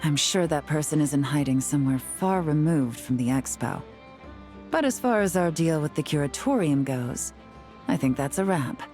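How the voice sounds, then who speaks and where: A woman playing a composed, wry detective agent in a video game, speaking in a cool, measured, dryly sly way. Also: low voice, lively intonation, a little mellow, soft background music. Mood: businesslike. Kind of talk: video game character dialogue.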